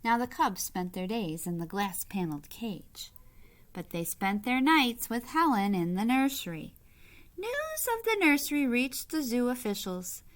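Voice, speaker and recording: A woman reading a children's picture book aloud like a teacher, with expressive, deliberate phrasing and short asides to young listeners. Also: on the high side. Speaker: read-aloud storyteller, teacher-like.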